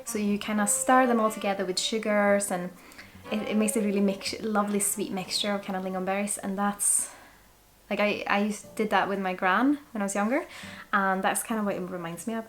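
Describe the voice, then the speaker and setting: A woman in her twenties from Sweden with a Swedish accent, chatting excitedly and thinking aloud as she reviews a whisky. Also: mid-range voice, brisk, low-quality recording. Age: 20s. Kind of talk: casual tasting review.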